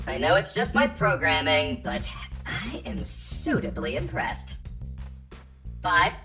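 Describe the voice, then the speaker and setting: A woman's voice: lowish voice, creaky, bright, forceful tone, heavily acted. A woman voicing a perky, sarcastic training robot with a theatrical streak in a video game, through a robotic filter. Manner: theatrical, swings between cheerful and sarcastic.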